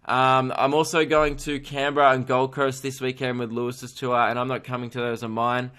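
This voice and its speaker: A man's voice: mid-range voice, little pitch movement, moderate pace, sharp tone, clear, resonant tone. A man, a comedian hosting his podcast in casual chat, joking, rambling and swearing freely. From Australia. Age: 20s.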